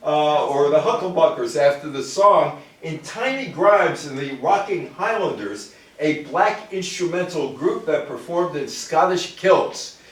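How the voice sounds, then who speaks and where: A man's voice: on the high side, animated, unhurried. A man giving an informal history lecture to a live audience, putting rhetorical questions to his listeners. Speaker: lecturer, public speaker. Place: indoor room with a live audience. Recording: very echoey room, distant mic.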